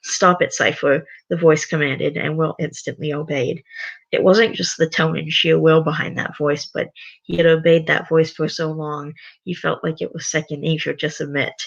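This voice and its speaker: A livestreamer reading a story aloud and chatting to viewers, voice going raspy. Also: medium pitch, flat delivery, moderate pace, highs cut off. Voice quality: voice getting raspy. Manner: rambling, self-correcting. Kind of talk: reading a story aloud, then casual chat.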